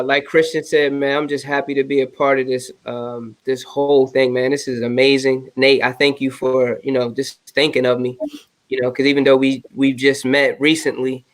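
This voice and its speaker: A man in his late thirties speaking as a guest mentor in a casual video call, earnest and grateful. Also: mid-range voice. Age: 30s.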